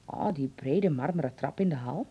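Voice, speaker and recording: A woman's voice: sits low, expressive, moderate pace, husky. An actress playing a fussing, affectionate middle-aged mother with aches in a Dutch radio drama, scolding yet fond and full of exclamations. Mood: delighted. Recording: dull lo-fi radio play recording.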